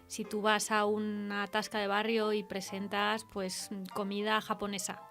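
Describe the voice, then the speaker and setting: A woman's voice: mid-range voice, monotone, measured pace, vocal fry, a bit bright, faint music behind. A woman with a Spain accent interviewing casually, thinking aloud and checking in with tag questions. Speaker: radio interviewer. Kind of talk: casual literary interview chat.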